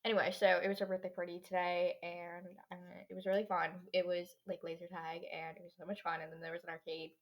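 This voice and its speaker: A teenage girl hosting her own podcast, chatting in a rambling way with lots of fillers while her voice is going hoarse. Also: lowish voice, level tone, quick pace, few pauses, highs cut off. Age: teens. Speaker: podcast host. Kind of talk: casual solo podcast chat.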